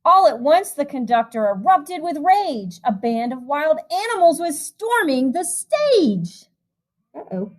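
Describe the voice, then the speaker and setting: A woman with a high-pitched voice reads a picture book to young children. She sounds like a music teacher, animated and expressive in her storytelling. She slips between the verse and chatty asides to her young listeners. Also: unhurried.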